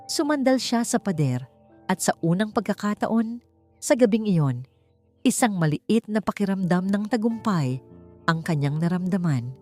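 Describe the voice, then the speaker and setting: A woman narrating a scripted story in a dramatic, suspenseful style, with deliberate short emphatic phrases. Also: medium pitch, dark tone. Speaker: audiobook narrator. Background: background music.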